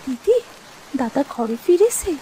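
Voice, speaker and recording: Lady playing a worried young village wife, cartoon, high voice going up and down, pleading, music behind. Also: big pitch swings, unhurried, warm tone, slight background noise, low-quality recording. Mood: urgent. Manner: pleading, emotional. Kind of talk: cartoon story voice acting.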